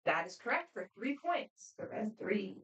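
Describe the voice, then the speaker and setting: A woman moderating a student quiz competition, reading questions aloud in a brisk, friendly, matter-of-fact way. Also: mid-range voice, big pitch swings, fairly bright tone. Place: competition room. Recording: voice picked up from a distance.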